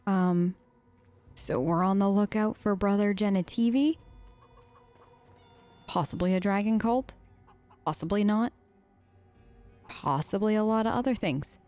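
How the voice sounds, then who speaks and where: A woman's voice: mid-range voice, a little slow, pauses often, darkish tone, faint music behind. A woman improvising in character for a video game let's play, chatty and hesitant, with an awkwardly polite manner.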